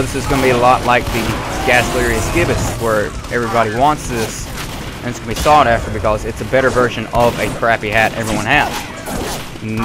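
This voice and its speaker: Rambling, slightly hoarse young man gaming. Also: mid-range voice. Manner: rambling, self-correcting, chatty. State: getting hoarse. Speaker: gaming video host. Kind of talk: casual gameplay commentary. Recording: loud game music behind. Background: game sounds and gunfire.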